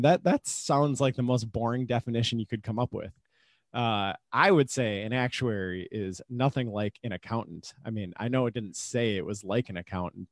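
A man co-hosting a casual podcast, relaxed and joking, with a lot of ums and uhs. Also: lowish voice, no room sound. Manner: relaxed, joking, many fillers. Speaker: podcast co-host, actuary. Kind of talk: casual chat.

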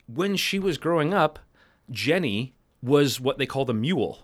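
A man's voice: mid-range voice, lively intonation, moderate pace. A man co-hosting a podcast, telling anecdotes playfully and acting out the quoted lines. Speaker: podcast co-host. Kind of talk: casual podcast storytelling.

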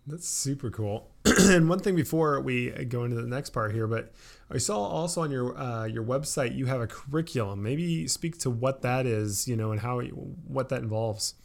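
Laid-back man, medium-pitched podcast co-host. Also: lively intonation, normal speed, gravelly. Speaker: podcast co-host reading sponsor message. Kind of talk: casual, unscripted-sounding host talk.

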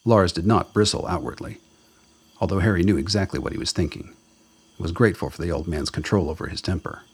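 A man's voice: sits low, quick pace, slightly rough. A man reading scripted narration for a radio drama.

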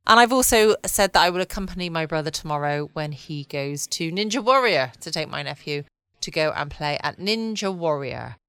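Female podcast host, lowish voice, close dry sound, casual rambling bedtime chatter. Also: animated, moderate pace, fairly bright tone, clean tone, no room sound. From United Kingdom. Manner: rambling, musing to herself.

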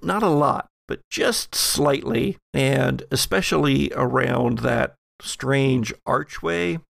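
A man acting as game master, narrating an improvised horror tabletop game in a halting, suspense-building way. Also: medium pitch, vocal fry, fairly bright tone, no room sound, studio quality. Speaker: game master of an actual-play podcast.

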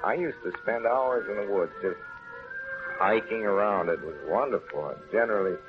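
A man's voice: lowish voice, normal speed, natural voice. An actor playing a wholesome, earnest, shy small-town man in a 1930s radio drama, on a tinny old recording. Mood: wistful, shy. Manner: plain-spoken, earnest. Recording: tinny phone-like 1930s radio, music behind.

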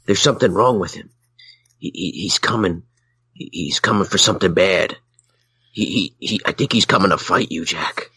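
A man voicing a frantic, dim, anxious comedy character, stammering and repeating words in a raspy voice meant to be Australian. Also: sits low, moderate pace, bright, forceful tone, no room sound, heavily acted. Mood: anxious warning. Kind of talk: improvised comedy skit on a podcast.

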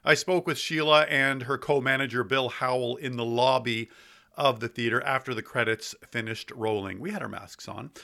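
A man's voice: mid-range voice, gravelly, sharp tone. A man hosting a local podcast, reading his narration in a wry, playful way and putting questions to guests. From Ontario, Canada. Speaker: podcast host. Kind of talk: scripted podcast narration and interviewing.

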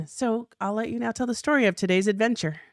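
A woman's voice: a little high, brisk, dry recording. Female podcast co-host, American, frank and opinionated, conversational delivery, very dry sound. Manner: frank, opinionated, swears casually. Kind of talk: casual banter with a co-host.

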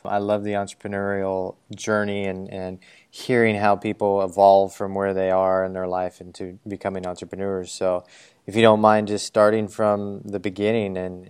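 A man with a Missouri accent hosts a casual podcast interview in a lowish, smooth, resonant voice. He is eager and generous with compliments, and he sets up his guest to tell his story. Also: a little mellow. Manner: enthusiastic, complimentary. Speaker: podcast host.

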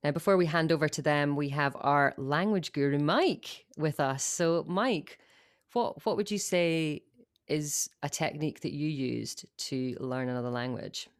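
A woman co-hosts a relaxed show with a notably low voice. She links segments briskly and pokes fun at herself, keeping things light. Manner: self-mocking, brisk links between segments. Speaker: co-host. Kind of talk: casual chat.

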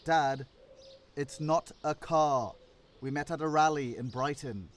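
A man acts an awkward grown-up son in an audio drama, dry and weary. His voice sits fairly high and moves slowly, with faint noise and birdsong behind it. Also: lightly acted. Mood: apologetic, exasperated. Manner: dry, halting, apologetic. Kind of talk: audio play acting.

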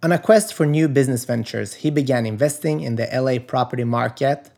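A man's voice: mid-range voice, brisk, gravelly, a little mellow. A man from Sweden hosting a podcast interview, reading a guest introduction and asking questions. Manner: reads guest introduction, brief affirmations. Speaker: podcast host.

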